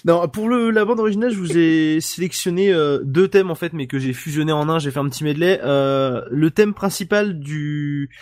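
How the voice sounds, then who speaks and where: A man's voice: a little high, normal speed, few pauses, darkish tone, dry recording, clean studio sound. A man co-hosting a casual podcast, presenting a game in a wry, joking way.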